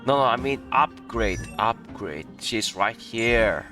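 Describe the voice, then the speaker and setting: A man casually comments on his gameplay in short muttered asides. His mid-range voice moves slowly but swings in pitch, over game music. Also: expressive, sharp tone. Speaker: gamer narrating his playthrough.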